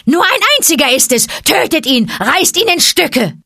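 A woman voicing a mob of vain, spiteful monkeys, shrill and rushed. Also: big pitch swings, creaky, bright, forceful tone, no echo, studio quality, heavily acted. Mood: shrieking.

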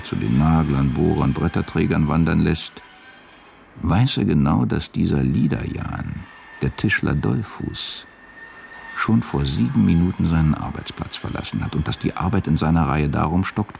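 A male narrator reads a radio drama with measured sobriety. His voice is extremely deep and gravelly, with faint noise behind it. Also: darkish tone. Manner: measured, sober.

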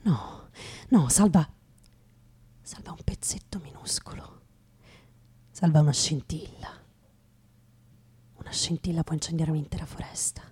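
A weary, wry old drinker, played by a woman in a radio play monologue. The voice is low and husky, breaking into breathy whispers. The words come slowly, muttered and repeated, heavy with bitterness. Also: mellow, heavily acted. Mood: tender, proud.

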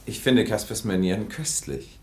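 A man's voice: on the low side, normal speed, close to an everyday voice. An actor playing a suave, coolly ironic English nobleman in a radio play. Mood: aloof. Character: suave aloof English lord.

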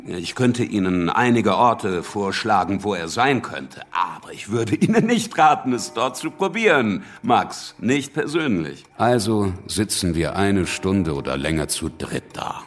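An actor playing a wry, teasing revolutionary who recounts events conversationally in a radio drama. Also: male voice, fairly low pitch, sing-song, unhurried, few pauses. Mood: wry, amused. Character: wry, worldly, teasing revolutionary man telling anecdotes. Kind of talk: radio drama acting and first-person narration.

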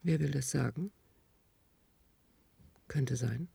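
An actress playing a sober, reserved medical expert with a restrained, clinical manner in a radio drama. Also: female voice, very deep voice, takes it slowly, vocal fry, dark tone, husky, no echo, exaggerated voice. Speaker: actor in a radio play. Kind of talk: German radio drama acting.

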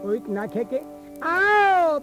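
Male folk bard, extremely high voice, sung-and-spoken storytelling, instrument playing behind, field cassette recording. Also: very animated, takes it slowly, music in the background. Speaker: traditional folk storyteller and singer. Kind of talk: folk tale performance alternating sung verse and spoken narration. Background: musical instrument accompaniment.

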